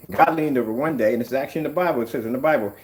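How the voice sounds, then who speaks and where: A middle-aged man chatting casually while working in a workshop, wandering off topic with animated bursts. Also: mid-range voice, rapid-fire, poor audio. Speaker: screen paint maker.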